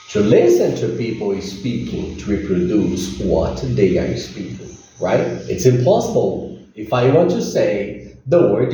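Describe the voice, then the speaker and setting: A man's voice: medium pitch, dark tone. A man in his thirties, a non-native English speaker, giving an informal language lesson to camera in an emphatic way, repeating key phrases. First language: not English. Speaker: English teacher. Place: small room with whiteboard, indoors. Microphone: camera mic a few steps away. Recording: very echoey, distant, dull, faint noise.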